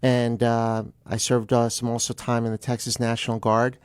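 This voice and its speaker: An American man from New Jersey giving a casual interview as a guest, pausing often with ums and uhs. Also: medium pitch, monotone, darkish tone, clear, resonant tone, no echo, clean studio sound. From New Jersey, United States. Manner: hesitant, frequent ums and uhs. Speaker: guest attorney.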